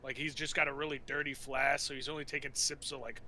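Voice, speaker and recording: A man's voice: mid-range voice, little pitch movement, fast talker, sharp tone, slight background noise. A man chatting casually as a player in a tabletop role-playing game, informal and prone to swearing.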